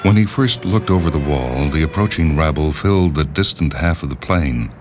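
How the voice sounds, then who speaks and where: Extremely deep man narrating fiction. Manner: measured, dramatic, grave. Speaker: narrator and reader. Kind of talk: scripted literary short story narration. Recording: music behind the narration. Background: orchestral music.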